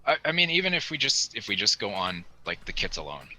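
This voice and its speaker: A man's voice: fairly low pitch, very animated, quick pace, sharp tone, poor audio. A man talking casually as a panelist on a hobby podcast.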